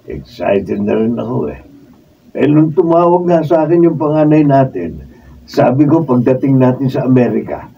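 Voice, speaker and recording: An actor playing a smug, easygoing middle-aged husband in a Filipino radio drama. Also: male voice, medium pitch, expressive, moderate pace, creaky, breathy edge. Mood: excited, smug. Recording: dull distant mic, faint noise.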